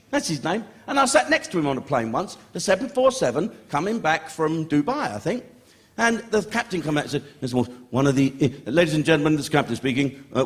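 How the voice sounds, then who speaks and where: A man doing a stand-up routine, telling a story with lots of swearing. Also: on the high side. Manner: swearing, storytelling, acting out voices. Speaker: stand-up comedian.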